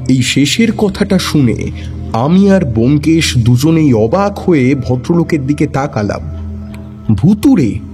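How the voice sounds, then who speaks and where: A man playing the detective's wry companion, narrating in a mellow, lively voice. He tells the tale with dry humor while faint music plays underneath. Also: on the high side, unhurried. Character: wry male companion narrating in first person. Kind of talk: storytelling narration. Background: faint background music.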